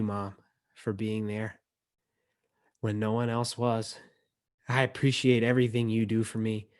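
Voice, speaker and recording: A man acting as emcee on a video call, speaking casually to a group and reading poetry aloud. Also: medium pitch. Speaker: emcee and book editor. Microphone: video call microphone.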